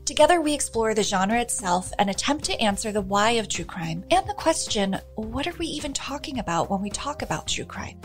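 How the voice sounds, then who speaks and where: A woman's voice: on the high side, animated, a little fast, hardly any pauses. A woman podcast host delivering a scripted promo, addressing listeners directly. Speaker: true crime podcast host. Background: music.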